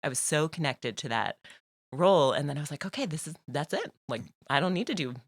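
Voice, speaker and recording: A woman's voice: deep voice, expressive, brisk, breathy edge, dry recording. Chatty laughing woman, dry sound. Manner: chatty, laughs often, many fillers. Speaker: podcast guest. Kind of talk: casual conversational interview.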